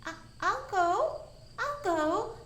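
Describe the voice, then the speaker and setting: A woman's voice: lively intonation, extremely slow, fairly bright tone, reverberant, a little hiss or hum, exaggerated voice. A woman voicing a shy, timid little male possum in a small, stammering voice for a children's story. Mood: timid, eager. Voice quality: small, high voice.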